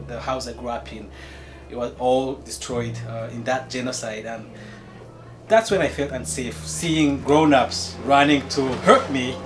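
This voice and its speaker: A man with a Rwandan accent shares his own experience at a community meeting. He is earnest and measured, often halting as he goes, with a mid-pitched voice. Music is clearly audible behind him. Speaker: community meeting participant, city employee. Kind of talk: personal testimony at a meeting.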